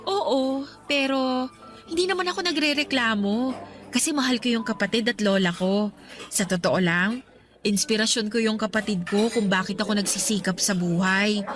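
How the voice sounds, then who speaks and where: A woman's voice: fairly high pitch, close to an everyday voice. An actress playing a guarded, devoted young working woman in a radio romance drama, speaking earnestly and apologetically. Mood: devoted, resolute. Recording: soft music bed underneath. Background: music.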